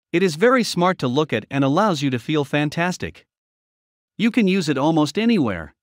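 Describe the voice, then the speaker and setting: Lively, dry-sounding male voice-over narrator. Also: a little high, dry recording. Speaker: product review narrator.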